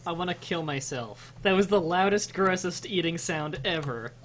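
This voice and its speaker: A co-streamer chats over a strategy game with dry, teasing remarks. The voice sits at an ordinary middle pitch, with a faint noise under the recording. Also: expressive, normal speed, fairly bright tone. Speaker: let's play co-streamer. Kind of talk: casual gaming chat.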